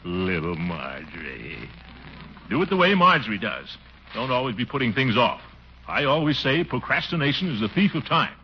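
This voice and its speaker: A man's voice: mid-range voice, sing-song, moderate pace. A man playing a pompous, blustering middle-aged uncle and city official in a 1940s radio comedy. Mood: pompous lecturing. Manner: pompous, blustering, exclamatory, muttering to himself. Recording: muffled, noisy 1940s radio recording.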